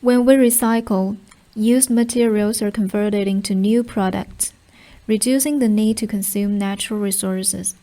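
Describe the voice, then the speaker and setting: A woman narrating short exam passages from a script, with measured, clear phrasing. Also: mid-range voice, steady tone, warm tone.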